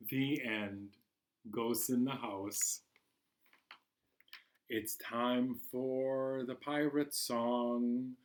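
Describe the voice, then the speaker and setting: A man leads a children's storytime at a very slow, deliberate pace. His voice sits in a middle male range, and he reads expressively, giving phrases room to land for young listeners. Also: medium pitch. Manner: animated, expressive, encouraging toward children. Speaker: library storytime host. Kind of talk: children's storytime, reading a picture book aloud.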